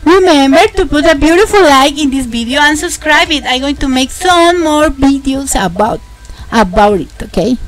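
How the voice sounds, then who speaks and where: A young woman with a Mexican accent, a host narrating a casual game tutorial, halting and repeating words as she explains. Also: high voice, lively intonation, low-quality recording. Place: home, indoors.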